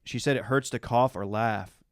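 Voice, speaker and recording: A male American podcast co-host with an average-pitched voice. He speaks in loose, casual banter about a reality show. The recording is very dry, with no room sound. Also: moderate pace, clear, resonant tone, no room sound. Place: small podcast studio.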